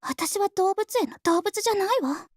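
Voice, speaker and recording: A woman's voice: extremely high pitch, fast, no room sound, studio quality. A woman voicing a cool, polite, aloof schoolgirl in an anime visual novel, speaking with reserve. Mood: cold. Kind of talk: Japanese visual novel voice acting.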